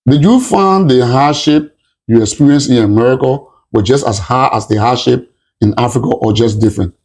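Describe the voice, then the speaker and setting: A man's voice: mid-range voice, moderate pace, a little mellow. A male host who asks interview questions and restates them in a rambling way.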